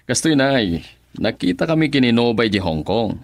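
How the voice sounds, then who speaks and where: A man's voice: medium pitch, sing-song, moderate pace, dry recording. A self-assured young man in a radio drama. His mid-range voice is chatty and breezy, recorded close and dry. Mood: polite, friendly. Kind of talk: Ilocano radio drama acting.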